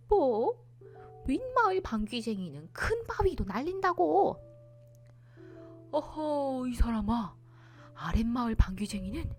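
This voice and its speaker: A woman voicing an astonished, gossiping village man in a children's folktale, emphatic and full of exclamations. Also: on the high side.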